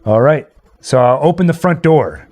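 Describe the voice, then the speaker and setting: A man's voice: a little high, lively intonation, normal speed, a little mellow, soft background music. A man playing a jaunty, skeptical radio DJ who narrates a haunted house visit in a chatty, wry radio-play style. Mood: wry narrating. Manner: chatty, wry, addressing the listeners.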